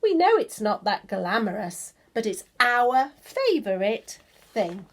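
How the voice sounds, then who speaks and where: Humble, contented storybook barnacles, all voiced by one woman, chirping out their rhyme. The pitch is mobile and the words are unhurried. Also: sing-song, full character voice.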